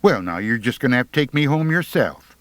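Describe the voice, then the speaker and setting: A man voicing a mean, snappish teenage backwoods girl with a squeaky little voice in an audiobook story. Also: very animated, a little fast, a bit bright, no room sound. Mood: accusing. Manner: snapping, curt, rustic grammar.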